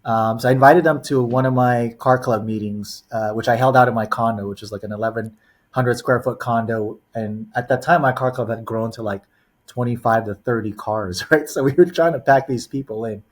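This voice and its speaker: A man's voice: medium pitch, steady tone, normal speed. A man in his forties, raised in Los Angeles, telling stories as a podcast guest with lots of "um" and "you know". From born in the Philippines, raised in Los Angeles. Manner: rambling storyteller, heavy on "you know" and "um". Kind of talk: casual podcast interview.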